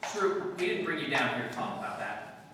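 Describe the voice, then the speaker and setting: A man's voice: medium pitch, normal speed, lightly acted. A man playing a terse, no-nonsense police detective who narrates his cases in a clipped 1950s radio drama style. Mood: firm. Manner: terse, deadpan, matter-of-fact. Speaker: amateur radio-play actor. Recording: echoey hall from far off, faint noise.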